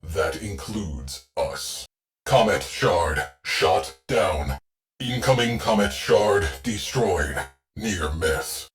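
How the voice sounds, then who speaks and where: A man playing a terse, stoic military ship AI in a video game, giving mission briefings in a clipped, deadpan, commanding way. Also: low voice, very slow, soft background music, heavily acted. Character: terse, stoic ship AI, male military tactician with dry wit.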